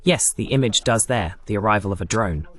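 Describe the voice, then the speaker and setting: A man's voice: fairly low pitch, expressive, fairly quick, a crowd in the background. A man hosting a political news broadcast, giving running commentary on war footage with pointed, sardonic asides.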